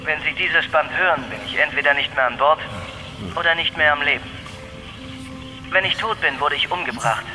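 An actor playing a grave informant giving a measured, deliberate monologue on a dictaphone tape in a German radio crime drama. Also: male voice, on the high side, pushed and bright, faint music behind, low-quality recording. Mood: grave, accusing. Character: grave male informant leaving a posthumous taped confession. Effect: dictaphone tape recording.

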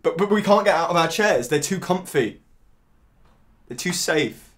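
An actor playing a bitter, self-loathing man in a film monologue, speaking haltingly and searchingly, swearing and repeating his words. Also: on the low side, fairly even intonation, faint music behind. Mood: desperate, pleading.

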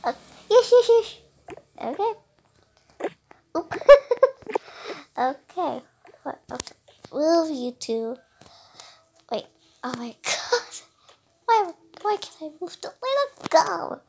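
A woman's voice: extremely high pitch, big pitch swings, slow pace, gravelly, pauses often, noisy background. A young girl from Indonesia narrating her dollhouse game in a casual, chatty, giggly way, talking to herself as she plays. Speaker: game player.

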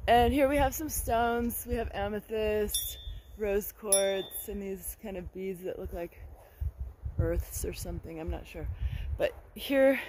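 A woman's voice: mid-range voice, measured pace, darkish tone, poor audio. A middle-aged woman with an American accent gives a casual, meandering garden tour, speaking hesitantly into a handheld phone outdoors. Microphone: handheld phone at arm's length. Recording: clear outdoor background noise.

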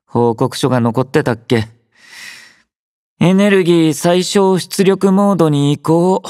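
Young anime game soldier: aloof yet quietly caring, unhurried delivery with lively swings in pitch, close dry sound. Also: male voice, no room sound. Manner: languid, dryly teasing, understated. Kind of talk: Japanese anime-style game character voice lines.

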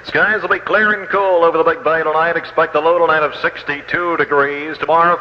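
A man with an American accent reading a scripted weather report on 1960s radio. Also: fairly high pitch. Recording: dull old radio tape with long reverb.

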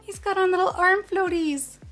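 Young woman host, high and bright, excited toy unboxing, faint music under. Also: normal speed. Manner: gasping, excited exclamations over toys. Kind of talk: cheerful toy unboxing narration. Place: indoors at a tabletop set.

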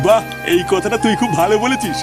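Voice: medium pitch, fast, close to an everyday voice. A performer voicing a mild, coaxing old grandfather in a comedy cartoon. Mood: agreeable. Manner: gentle, placating. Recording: music behind the voice.

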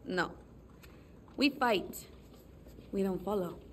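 A woman's voice: medium pitch, animated, takes it slowly, a little hiss or hum. A woman playing a fierce, defiant young guerrilla fighter, blunt and emphatic, in a video game. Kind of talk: dramatic game dialogue.

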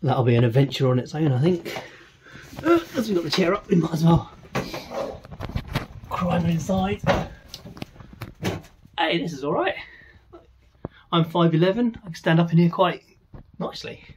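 A man's voice: on the high side, lively intonation, normal speed, long pauses, background noise. A man gives a curious, chatty walkaround of an old van in a workshop, thinking aloud as he goes. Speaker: vlogger restoring a classic van. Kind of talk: casual walkaround commentary.

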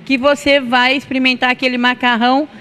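High-voiced Brazilian woman hosting. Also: level tone, brisk, fairly bright tone. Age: middle-aged. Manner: chatty, addresses viewers directly. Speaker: TV show host. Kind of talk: casual on-location food interview. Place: restaurant dining room, indoors. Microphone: handheld reporter microphone.

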